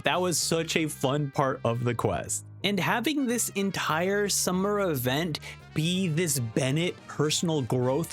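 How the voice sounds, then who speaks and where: Effusive male reviewer with very animated pitch. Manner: effusive, emphatic. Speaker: video game reviewer. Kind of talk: enthusiastic review commentary.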